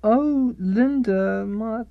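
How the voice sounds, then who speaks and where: A woman's voice: fairly high pitch, vocal fry, no room sound, phone-quality sound, exaggerated voice. A woman playing a literal-minded reader in a radio comedy, stumbling as she sounds out words of romance fiction. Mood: halting reading. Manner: halting reading, sounding words out.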